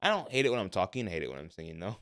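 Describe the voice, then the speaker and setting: A young man co-hosting a podcast in relaxed casual chat, mostly level in tone but bursting into lively swings when he gets passionate. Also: sits low, very fast, no room sound, studio quality. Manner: says his voice is monotone but jumps around when passionate. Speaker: podcast co-host.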